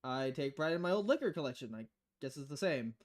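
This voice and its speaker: A woman voicing a low-key, dry-humored female bartender in a visual novel game, deadpan and a little hesitant. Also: fast talker.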